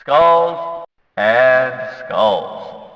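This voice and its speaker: A man playing an excitable video game race announcer, calling out in emphatic, punchy bursts that swing from flat to shouted. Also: mid-range voice, drawn-out words, very noisy. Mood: excited, hyped. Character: excitable male demolition derby race announcer. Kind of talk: arena-style race announcer name calls. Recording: dull audio with long hall reverb.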